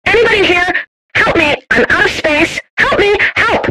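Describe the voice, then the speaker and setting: A woman's voice: extremely high pitch, moderate pace, pitched above the performer's own voice. A woman voicing a panicked stranded astronaut, calling out frantically through a voice-changer effect. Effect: astronaut voice changer with background noise. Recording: noisy ambience behind processed voice.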